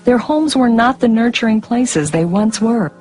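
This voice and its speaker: A woman giving scripted storybook narration in an expressive way, with music behind her. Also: on the high side, normal speed.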